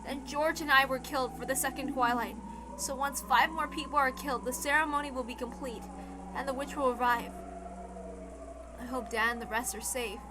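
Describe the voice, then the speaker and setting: A woman's voice: sits high, fairly even intonation, moderate pace, background noise, natural voice. A woman voicing a brave, resigned dying teenage girl in a visual novel, earnest and solemn. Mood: solemn, fading.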